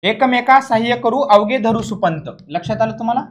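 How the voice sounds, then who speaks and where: A man's voice: extremely high pitch, a little fast. A man teaching an exam coaching lesson, explaining emphatically, repeating key points and often checking understanding with rhetorical questions.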